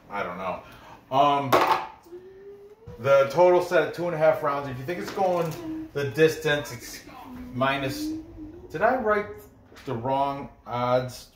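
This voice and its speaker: A person from New York, born in Korea, giving casual, rambling fight betting commentary and often interrupting themselves. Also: medium pitch. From born in Korea, grew up in New York. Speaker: host giving betting picks. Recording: echoey room with noise.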